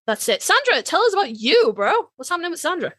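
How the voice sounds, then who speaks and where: A woman's voice: very high voice, big pitch swings, quick pace, fairly bright tone, no echo, clean studio sound. A British woman co-hosting a podcast in casual chat, informal and sweary. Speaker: podcast co-host.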